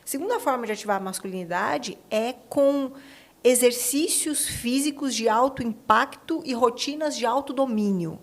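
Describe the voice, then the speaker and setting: A woman's voice: fairly high pitch, normal speed. A Brazilian woman hosting a conversational podcast interview, speaking emphatically and colloquially and often checking for agreement. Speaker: podcast host.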